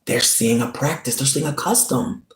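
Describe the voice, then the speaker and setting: A man's voice: mid-range voice, normal speed, echoey room. A man hosting his own channel, talking straight to viewers in an earnest, pleading way and often repeating himself. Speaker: channel host. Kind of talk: direct-to-camera commentary.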